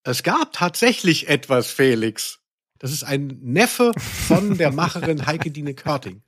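A man with a somewhat high voice co-hosts a casual, humorous podcast. He talks in wordy sentences with a mock-indignant tone. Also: sing-song, measured pace, fairly bright tone, husky. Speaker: podcast co-host.

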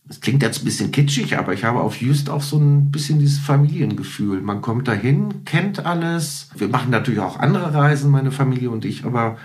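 A man's voice: mid-range voice, animated, fairly quick, hardly any pauses, darkish tone. A man speaking as a podcast guest and musician, telling anecdotes in a conversational interview.

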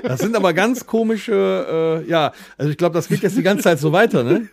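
A man's voice: high voice, fairly quick, gravelly, darkish tone, a little hiss or hum. A man co-hosting a podcast in casual, joking banter, talking colloquially and crudely. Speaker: podcast co-host.

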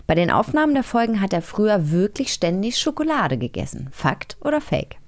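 A woman's voice: mid-range voice, sing-song, brisk. A woman co-hosting a podcast in casual, playful, colloquial chat. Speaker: podcast co-host.

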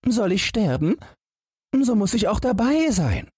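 Casting: naive storybook boy, boastful, high-pitched and squeaky, swooping husky delivery. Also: big pitch swings, measured pace, darkish tone, slight background noise. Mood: cocky, defiant. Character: cheeky, fearless, simple-minded young fairy-tale lad.

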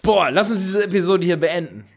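Animated man in his twenties. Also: sits high, brisk. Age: 20s. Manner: animated, emphatic, laughs often. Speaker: podcast co-host. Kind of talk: casual chat.

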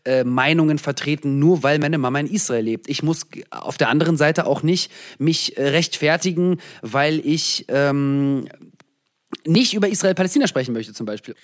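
A man from the former Soviet Union talks as an interview guest, searching for his words with pauses and restarts. His voice sits a little higher than usual for a man. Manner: thoughtful, searching, frequent fillers and restarts. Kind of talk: reflective interview answers.

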